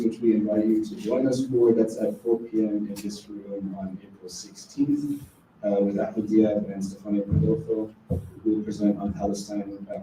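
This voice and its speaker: A man's voice: fairly low pitch, mellow. A man opening a university event, polite and matter-of-fact, reading announcements and introducing speakers. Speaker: event introducer, associate professor. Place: university lecture room. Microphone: distant room recording. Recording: echoey room from far off, faint hiss.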